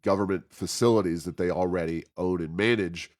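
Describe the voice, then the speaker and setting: An American man hosting a conversational interview, speaking haltingly as he searches for his words. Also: deep voice, darkish tone. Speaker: podcast host and think tank president.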